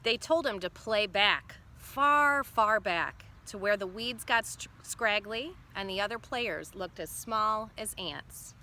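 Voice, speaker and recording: Female primary teacher, bright crisp-edged delivery, expressive storybook read-aloud with explanatory asides, slight background noise. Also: mid-range voice, slow pace, hardly any pauses. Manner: instructive, checking in with questions. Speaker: teacher reading a picture book aloud.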